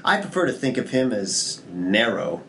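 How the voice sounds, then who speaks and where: A man's voice: fairly low pitch, very animated, normal speed, vocal fry, fairly bright tone, a little hiss or hum, full character voice. An actor playing an arrogant, lustful imperial nobleman with a pompous, condescending manner at a script table read. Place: small indoor room at a table.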